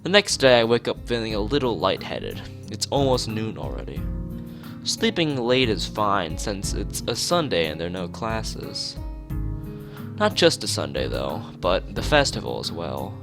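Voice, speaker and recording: A man with a fairly low, very animated voice reads a visual novel aloud for a stream, slipping into casual asides. Guitar music plays behind him. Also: a little slow. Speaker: gaming streamer.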